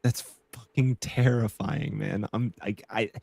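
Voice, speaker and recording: Male stream co-host, animated, stammers, swears, lowish voice, dry. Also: unhurried, gravelly, no room sound. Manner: animated, profane, stammering restarts. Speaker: podcast co-host. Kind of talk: casual live-stream commentary.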